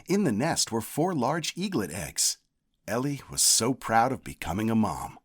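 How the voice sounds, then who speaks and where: A man reading a children's picture book aloud as a storyteller, with a deliberate, expressive delivery. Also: medium pitch, breathy edge. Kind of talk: scripted read-aloud narration.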